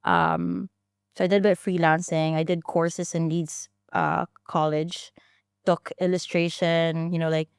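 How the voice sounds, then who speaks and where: A woman's voice: fairly low pitch, level tone, measured pace, vocal fry, smooth, dry recording, clean studio sound. A woman in her thirties, a founder telling her story in a casual interview, chatty, with frequent restarts and fillers. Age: 30s. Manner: chatty, many restarts and fillers, laughs easily. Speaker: founder and guest.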